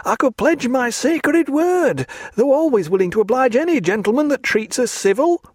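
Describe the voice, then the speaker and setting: A man's voice: very high voice, expressive, moderate pace, no room sound, voice pushed up. A man voicing a tearful young male clerk who pleads in a whiny, common way, in an audiobook. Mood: tearful pleading.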